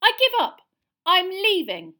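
A woman's voice: sits high, very animated, fairly quick, pitched above the performer's own voice. A woman doing an exasperated clever schoolgirl. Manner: exasperated, hissing. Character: sharp, impatient, clever teenage schoolgirl.

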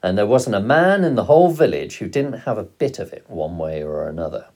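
A man's voice: fairly low pitch, very animated, a little fast, darkish tone. A man voicing a roguish, gleeful father who tells stories with dramatic relish in an audiobook. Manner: exclamatory, relishing, dramatic delivery. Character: roguish, gleeful father, fond storyteller of poaching.

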